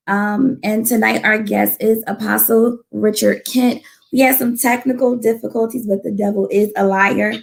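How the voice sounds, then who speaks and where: Woman podcast host, voice going hoarse, gravelly, casual churchy delivery. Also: a little high. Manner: prayerful, peppered with church phrases. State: losing her voice. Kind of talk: casual faith podcast hosting.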